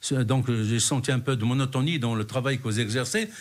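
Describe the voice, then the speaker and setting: A man's voice: mid-range voice, fast. A middle-aged man from Morocco, answering interview questions in a hesitant way, with many fillers and restarts. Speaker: guest.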